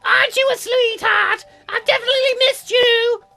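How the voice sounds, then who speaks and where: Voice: extremely high pitch, steady tone, normal speed, gravelly, pushed and bright, soft background music, pitched above the performer's own voice, exaggerated voice. A performer voicing a naive, ditzy teenage girl in a dating sim game, with exaggerated gushing wonder. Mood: gushing, curious.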